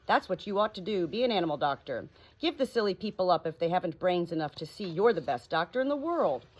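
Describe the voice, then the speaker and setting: A woman voicing a proud, bossy female parrot in a high, insistent, instructive voice for a storybook. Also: very animated, fast talker, low-quality recording. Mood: insistent, persuasive.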